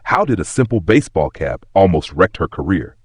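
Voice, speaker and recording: Deep-voiced dramatic male story narrator. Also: sing-song, brisk, husky, dry recording. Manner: suspenseful, emphatic delivery.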